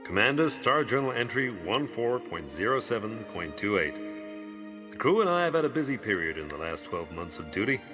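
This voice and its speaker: A man's voice: fairly low pitch, big pitch swings, a little fast. An actor playing a formal, haughty man of rank in an audio drama. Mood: formal, composed. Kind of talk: audio drama acting in a trailer. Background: music.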